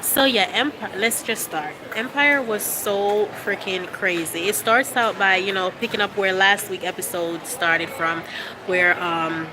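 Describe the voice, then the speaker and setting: A woman's voice: medium pitch, unhurried, noisy background. Stuffy-nosed young woman chatting on a phone. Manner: chatty, relaxed, with frequent fillers and laughs. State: stuffy from a cold. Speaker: vlogger livestreaming to fans. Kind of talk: casual live chat. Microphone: phone held at arm's length.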